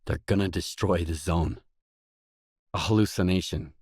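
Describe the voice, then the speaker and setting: An actor playing a wary, world-weary stalker, the blunt protagonist of a video game, in terse acted dialogue. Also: male voice, low-pitched, normal speed, a little mellow, breathy edge, no echo, studio quality. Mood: tense, defiant.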